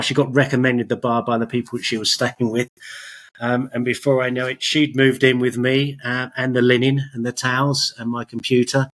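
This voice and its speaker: A man's voice: medium pitch, a bit bright. Middle-aged man, rambling storyteller. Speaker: podcast guest, business owner. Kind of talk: conversational interview, telling personal story.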